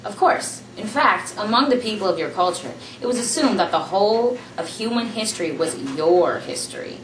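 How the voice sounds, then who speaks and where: A young woman reading a novel aloud in a small room, in a plain, steady reading voice, recorded on a camera from across the room. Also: medium pitch, moderate pace. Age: young adult. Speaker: amateur reader. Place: small room indoors, seated on a couch. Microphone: camera mic from across the room. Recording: roomy, distant camera audio with faint hiss.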